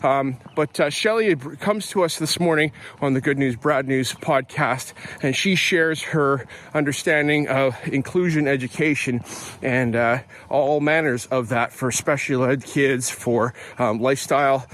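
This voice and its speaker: A man's voice: medium pitch, measured pace, a bit bright. A middle-aged Canadian man hosting a podcast, talking casually with many fillers and restarts while out on an early morning walk. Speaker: podcast host. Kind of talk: casual spoken reflection.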